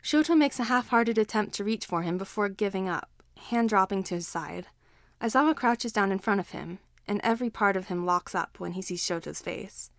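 Female story narrator, medium pitch, expressive scripted reading in a dry, echo-free space. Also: moderate pace. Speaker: fan fiction narrator.

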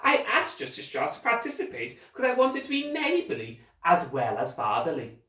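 A sinister storybook count, acted out by a man, speaks with false courtesy and theatrical menace. The voice is high and oily, commanding underneath, and sounds roomy. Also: wildly swooping pitch, normal speed, pushed and bright, recorded from a distance, exaggerated voice. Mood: smug, oily. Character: sinister, controlling older villain, falsely polite count.

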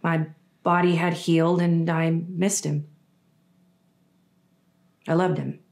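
A young woman with a fairly low voice reads a personal testimonial. Her delivery is deliberate, broken by dramatic pauses. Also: little pitch movement, darkish tone. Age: young adult. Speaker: reader of a survivor's story. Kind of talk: read first-person testimonial monologue. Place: indoors against a dark backdrop.

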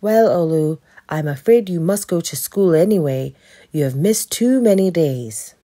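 An exasperated, bossy storybook mother, played by a woman reader. She nags and gives orders in a husky voice with big swings of pitch, drawing words out. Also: animated, unhurried, mellow. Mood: resigned. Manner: commanding, nagging.

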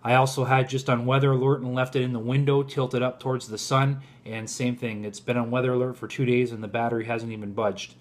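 A man in his forties with a mid-pitched voice gives a casual product review. He talks informally and directly to his viewers, as if to a group of guys. He is indoors at home, speaking toward a camera close in front of him. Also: little pitch movement, brisk, hardly any pauses.

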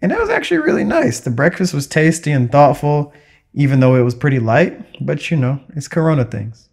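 A man's voice: fairly high pitch, big pitch swings, brisk, creaky, darkish tone, husky. A young adult man, a travel vlogger, narrating casually in an upbeat, conversational way. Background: music.

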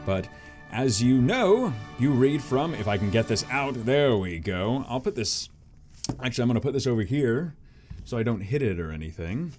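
Animated male vlogger, hugely varied pitch. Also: moderate pace, over music. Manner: animated, joking, self-deprecating. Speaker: YouTube host reading manga aloud. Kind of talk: casual vlog commentary.

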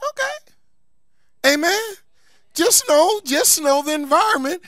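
A man's voice: very high voice, sing-song, takes it slowly, pushed and bright, no echo, clean studio sound. A man preaching a sermon as a pastor, emphatic and folksy, repeating key phrases for stress and slipping into colloquial asides. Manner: colloquial, folksy asides between scripture points.

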